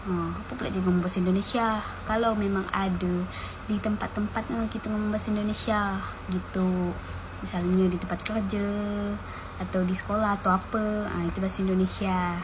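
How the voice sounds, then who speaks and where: A woman's voice: mid-range voice, flat delivery, brisk. A woman with a Pontianak Malay accent talking bluntly and casually to camera. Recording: noisy background.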